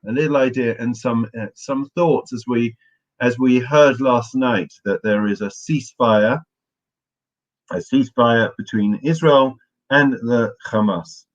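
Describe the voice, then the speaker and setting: Hoarse man giving a community talk. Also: mid-range voice. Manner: warm, chatty, addresses listeners by name. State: hoarse, losing his voice. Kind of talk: morning learning talk to a congregation. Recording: muffled lo-fi audio.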